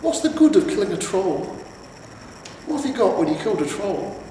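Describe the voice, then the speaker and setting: A man's voice: sits high, very animated, normal speed, dark tone, far from the mic, voice pushed up. A man voicing a nagging, cautious talking horse in a fantasy storybook reading. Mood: sceptical. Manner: nagging, questioning. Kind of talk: acted character dialogue in a fantasy storybook reading. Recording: very echoey reverberant room, faint noise.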